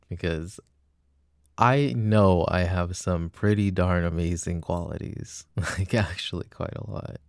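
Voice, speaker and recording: A jealous, insecure boyfriend, played by a man, talks in a very low voice with plenty of fry. The recording is dry and intimate, like ASMR roleplay. He pleads earnestly and haltingly, devoted beneath the doubt. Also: dry recording. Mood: vulnerable, insecure. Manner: hesitant, earnest, soft-spoken pleading. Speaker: roleplay audio voice actor.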